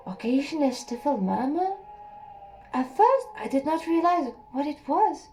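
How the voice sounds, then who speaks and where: A woman reading a first-person story aloud as an audiobook, soft and unhurried, sometimes sinking to a near whisper. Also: high voice, rough recording. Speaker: audiobook reader.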